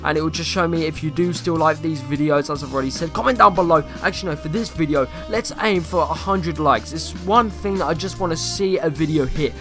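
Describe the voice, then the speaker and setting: A young man YouTuber with a slightly croaky voice, rambling through casual gameplay commentary and asking viewers questions. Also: a little high, fairly quick, few pauses, over music. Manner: rambling, self-correcting, asks viewers questions.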